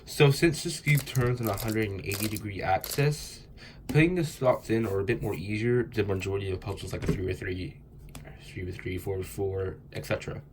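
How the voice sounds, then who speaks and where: A man's voice: on the low side, moderate pace, noisy background. A young man with a stuffy, congested voice from a cold, casually explaining puzzle steps in a loose, rambling way. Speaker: cubing tutorial host.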